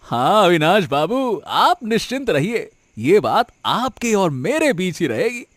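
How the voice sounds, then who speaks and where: A man playing a villain's fawning henchman in an animated story, oily and obsequious. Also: high voice, a little slow, dry recording, studio quality, exaggerated voice. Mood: oily, reassuring. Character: villain's fawning male henchman in smuggling racket. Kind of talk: Hindi animated story dialogue.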